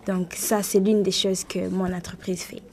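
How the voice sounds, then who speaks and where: A woman's voice: medium pitch, little pitch movement, moderate pace, darkish tone, a little hiss or hum. A woman in her twenties from Rwanda, introducing herself conversationally as a studio panel guest. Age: 20s. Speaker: panel guest, writer and event planner.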